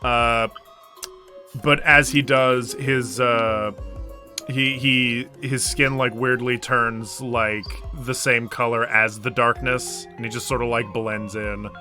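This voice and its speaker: A man's voice: medium pitch, steady tone, takes it slowly, sharp tone, faint music behind. A man playing a tabletop game, casually improvising what the character does. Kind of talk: casual improvised roleplay narration.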